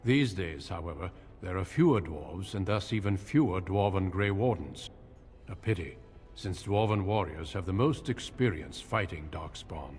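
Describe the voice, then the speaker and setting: An actor playing a courteous, grave veteran warrior in a video game, speaking in a formal, measured way. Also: male voice, low voice, lively intonation, darkish tone, faint music behind. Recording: reverberant hall, noisy game ambience.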